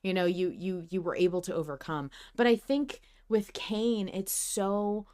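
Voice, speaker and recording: A woman's voice: medium pitch, fairly even intonation, a little slow, darkish tone, smooth. Woman podcast host chatting casually. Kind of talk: casual discussion of a fiction podcast.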